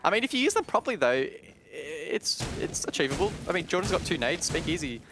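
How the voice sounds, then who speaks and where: A man's voice: on the high side, sing-song, fairly quick, music in the background. A man giving analytical live commentary on an esports match as a caster.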